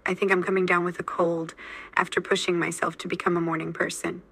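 A woman's voice: fairly low pitch, little pitch movement, fast, vocal fry, fairly bright tone, husky. A woman's AI-generated voice repeating model sentences steadily for a language lesson. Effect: AI text-to-speech voice. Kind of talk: scripted sentence drills.

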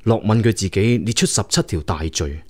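A man's voice: on the low side, normal speed, natural voice. A man playing a loyal prince, the emperor's younger brother, advising him earnestly and deferentially in a radio drama. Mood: indignant. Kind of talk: Cantonese radio drama acting.

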